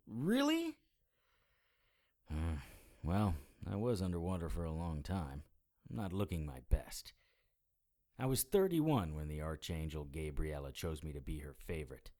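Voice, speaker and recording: An actor playing a wry, world-weary immortal investigator in middle age, with a dry, dramatic delivery in an audio drama. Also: male voice, low voice, sing-song, a little slow, pauses often, dark tone. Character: wry world-weary immortal male investigator who looks about forty. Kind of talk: audio drama dialogue and first-person noir narration.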